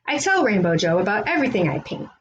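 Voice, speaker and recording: A woman reading a children's picture book aloud in an expressive storytelling style. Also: medium pitch, fast talker, muffled recording, a little hiss or hum.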